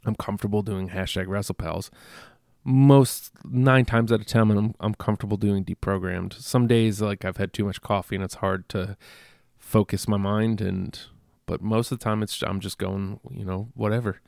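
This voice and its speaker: A stuffy-nosed man talks alone into a close microphone for his podcast, rambling and self-deprecating between fillers and false starts. His voice is low and full of gravelly fry, and the recording is dry. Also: steady tone, moderate pace, a little mellow, no room sound. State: congested. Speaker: podcast host. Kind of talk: casual solo podcast monologue.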